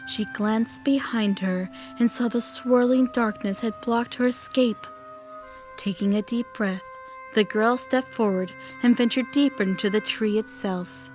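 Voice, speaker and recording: High-voiced woman narrating dramatically. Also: darkish tone. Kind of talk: scripted dramatic fantasy story narration. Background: music.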